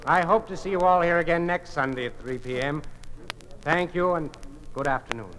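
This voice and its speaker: An actor playing a genial, mild museum concert organizer with a genteel manner in an old-time radio drama. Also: male voice, on the high side, normal speed, lightly acted. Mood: formal, announcing. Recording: noisy old radio transcription.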